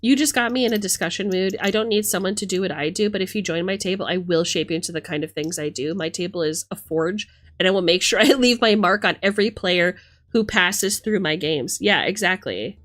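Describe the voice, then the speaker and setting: A woman's voice: lowish voice, brisk, a bit bright. A woman hosting a livestream, talking casually and emphatically with plenty of swearing as she rants and chats with commenters. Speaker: livestream host.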